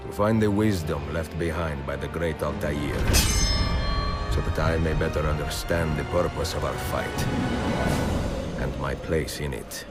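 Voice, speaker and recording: An actor playing a weary, reflective older assassin, narrating solemnly in measured phrases. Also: male voice, a bass voice. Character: weary, reflective aging male master assassin. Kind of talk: reflective letter narration, video game voice acting. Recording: loud music under the voice.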